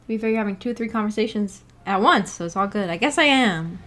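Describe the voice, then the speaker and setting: A woman's voice: mid-range voice, animated, quick pace, fairly bright tone, soft background music. A woman livestreamer chatting casually with her viewers, in a rambling, hesitant, giggly manner. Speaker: livestreamer, book club host. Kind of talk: casual livestream chat, reading and answering viewer comments.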